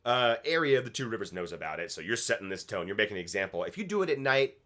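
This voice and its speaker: A man's voice: lowish voice, very animated, rapid-fire, pushed and bright. A man co-hosting a TV reaction show, giving casual, opinionated commentary in an emphatic, exasperated manner. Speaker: co-host of a TV episode reaction show. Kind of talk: casual opinionated TV review chat.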